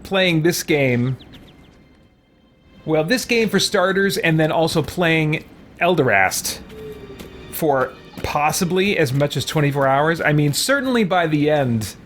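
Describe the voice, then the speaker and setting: A man's voice: fairly high pitch, lively intonation, unhurried, a bit bright, soft background music. A man giving casual live let's-play commentary, rambling and thinking aloud as a game streamer.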